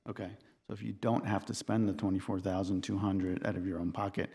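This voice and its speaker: A committee man questions an applicant in a very deep voice. He is probing and insistent and talks over her to finish his point. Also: level tone, fairly quick, creaky, dark tone, slightly rough. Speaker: committee member. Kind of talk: questioning at a public meeting. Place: city council chamber.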